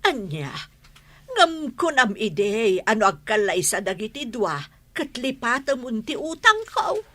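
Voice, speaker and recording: A woman's voice: on the high side, sing-song, a little slow, sharp tone, exaggerated voice. A woman acting a wheedling village debtor in a radio comedy drama, pleading and exclaiming. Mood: wheedling, startled.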